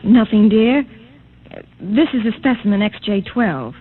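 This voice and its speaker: An actress playing a reserved, clinical geneticist professor in a mid-century radio drama, speaking in a formal, clipped way. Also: female voice, mid-range voice, expressive, measured pace, slightly rough. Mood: deferential, cool. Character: reserved female geneticist professor in a regimented future. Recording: tinny, noisy old radio recording.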